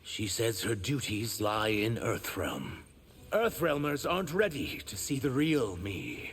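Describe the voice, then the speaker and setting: A man voicing an earnest, wounded reptilian shapeshifter for a video game, measured and sincere with a gravelly edge. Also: lowish voice, sing-song, a bit bright. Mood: wary, dry. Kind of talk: video game character acting.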